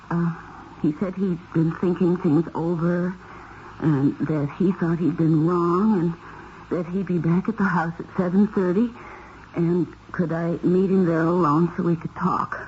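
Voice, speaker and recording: A shaken married woman speaks in a low, halting voice, fearful and under suspicion. It is vintage radio mystery drama, with a dull tone and clear hiss over the recording. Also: darkish tone. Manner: hesitant, halting delivery. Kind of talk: 1970s radio mystery acting.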